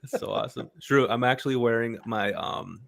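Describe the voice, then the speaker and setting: A man's voice: mid-range voice, brisk, creaky, a bit bright. A man chatting casually from a home room on a studio mic, laid-back and calling people bro. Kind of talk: casual chat. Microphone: studio mic on arm.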